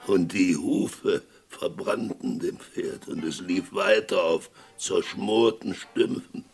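An actor playing a fervent, gravelly friend of a condemned man in a German Western radio play, dramatic and given to repeating himself. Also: sits low. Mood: haunted, grave. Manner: slow, halting, dramatic storytelling with repetitions.

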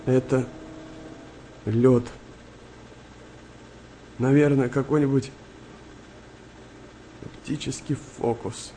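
A dazed, naive young sailor in a wartime radio play. He speaks slowly and hesitantly in a soft, dark timbre, with faint noise behind. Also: medium pitch, steady tone, rough recording. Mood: wondering. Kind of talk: Russian radio drama acting.

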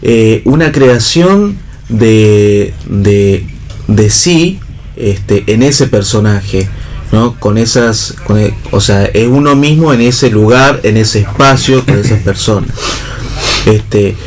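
An Argentine man with a somewhat low voice thinks aloud as a guest at a radio round-table. He speaks haltingly, feeling for each phrase. Slight noise is audible in the recording. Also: a little mellow. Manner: hesitant, searching for words. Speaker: panelist. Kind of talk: reflective casual discussion. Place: radio programme with background ambience.

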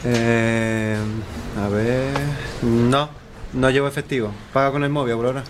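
A man's voice: medium pitch, little pitch movement, a little slow, noisy background, lightly acted. A male actor playing an easygoing, gullible husband in a radio play, colloquial and agreeable, with an accent from Spain. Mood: casual. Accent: Spanish from Spain.

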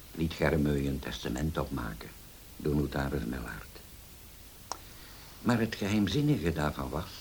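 A very deep, slow, troubled father. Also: exaggerated voice. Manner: halting, hesitant, solemn, with many pauses. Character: grave, troubled widowed father, burdened by old betrayal. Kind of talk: Dutch radio play acting. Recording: hissy old radio play recording.

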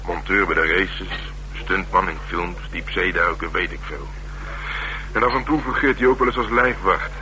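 Very deep, gruff Dutch detective, played by a man. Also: normal speed, natural voice. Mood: matter-of-fact. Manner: blunt, colloquial, dryly teasing. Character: gruff, streetwise middle-aged male police detective, sardonic. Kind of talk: naturalistic radio drama dialogue. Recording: humming, noisy old radio broadcast.

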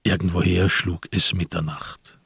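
A man narrating a radio crime drama in a measured, literary, sober way, with a husky voice. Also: deep voice, flat delivery, fairly bright tone, no room sound, clean studio sound, heavily acted. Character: sober storyteller of a crime tale.

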